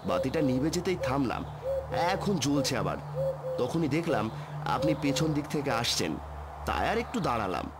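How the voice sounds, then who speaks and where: A man's voice: medium pitch, very animated, normal speed, noisy background, voice pushed up, close to an everyday voice. A man voicing a nervous, polite motorcycle traveller in a horror cartoon, speaking in an anxious, hesitant way. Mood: uneasy, polite.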